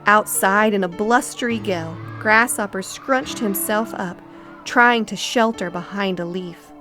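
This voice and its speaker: Slow-paced woman reading a storybook. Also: medium pitch. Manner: expressive, deliberate. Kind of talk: animated storybook narration for children.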